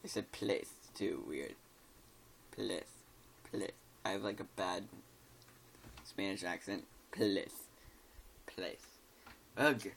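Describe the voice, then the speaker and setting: A man's voice: low-pitched, slow pace, creaky, long pauses, a bit bright, a little hiss or hum. A tired man casually reads a scary story aloud, stumbling over words and joking about them.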